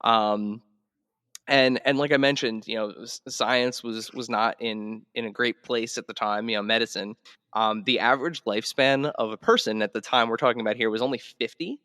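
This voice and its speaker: A man hosting a casual podcast, explaining history in a halting, conversational way. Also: mid-range voice, fairly even intonation, pushed and bright, clear, resonant tone, dry recording, studio quality, in a large hall. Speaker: podcast host.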